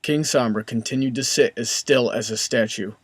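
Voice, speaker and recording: A man's voice: medium pitch, normal speed, breathy edge, no room sound. A man reading scripted story narration as the narrator.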